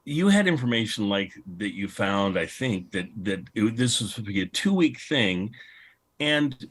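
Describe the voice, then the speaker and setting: A man with a fairly low voice moderates a relaxed panel discussion. He talks conversationally and sounds keen and appreciative of the material. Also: moderate pace. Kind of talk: casual panel discussion about old radio.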